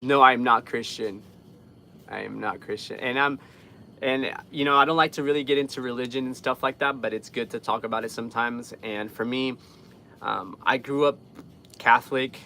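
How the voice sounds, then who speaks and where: A man's voice: medium pitch, fairly even intonation, normal speed, bright, forceful tone, a little hiss or hum. A young man from Guatemala chatting casually with live viewers in an earnest, rambling, informal way. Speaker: live streamer.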